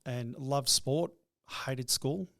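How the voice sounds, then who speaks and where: Halting middle-aged man. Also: mid-range voice, gravelly, a bit bright, no room sound, clean studio sound. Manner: halting, repeats words when starting sentences. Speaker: guest, business owner. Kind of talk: reflective interview talk.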